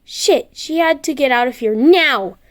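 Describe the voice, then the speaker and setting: Story narrator: woman, high voice, amateur reading of scripted fiction. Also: animated, unhurried, a bit bright.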